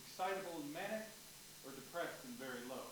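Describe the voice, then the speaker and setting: A man's voice: fairly high pitch, expressive, brisk, close to an everyday voice. A man playing an earnest, knowledgeable explainer in a staged play reading, distant in a very echoey, noisy theatre. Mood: explaining. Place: indoor stage of a university theatre.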